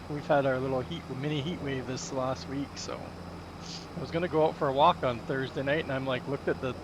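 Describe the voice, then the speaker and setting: A man with a raspy voice hosting a farming game playthrough, talking casually and chuckling between remarks. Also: mid-range voice, steady tone, fairly quick, noisy background. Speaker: gaming channel host. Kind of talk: casual let's-play commentary. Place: small home room.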